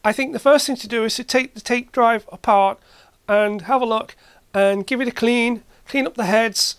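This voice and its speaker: High-voiced woman talking through a repair. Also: clear, resonant tone, dry recording. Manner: halting, thinking aloud in short phrases. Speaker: hobbyist repair video maker. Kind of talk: casual hands-on demonstration narration. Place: indoor workshop at a workbench.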